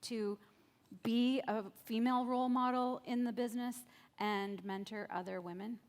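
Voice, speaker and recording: A woman's voice: a little high, steady tone, moderate pace. A woman moderating a conference panel, asking questions thoughtfully and paraphrasing the speakers' points. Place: conference stage.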